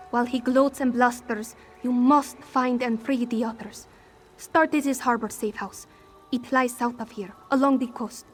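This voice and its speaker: Woman acting a solemn, high-voiced fantasy ally. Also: a little hiss or hum, lightly acted. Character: solemn, determined female rebel ally from fantasy land. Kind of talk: video game quest dialogue.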